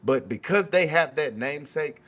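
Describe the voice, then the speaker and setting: A man's voice: a little high, expressive, normal speed, fairly bright tone, lo-fi sound. A man talking sports casually on a panel, blunt and informal, often circling back to restate his point. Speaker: sports talk show guest or panelist. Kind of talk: casual unscripted sports debate.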